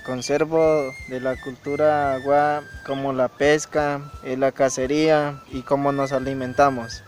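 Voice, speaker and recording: A man's voice: medium pitch, flat delivery, unhurried, faint music behind. A young man, a student, answering interview questions briefly with some restarts. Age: young adult. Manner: plain, short answers with restarts.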